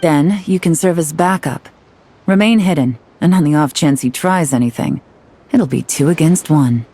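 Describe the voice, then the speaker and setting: A woman playing a composed, shrewd guild operative in a video game, speaking in a measured, businesslike, firm way. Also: sits low, mellow, husky.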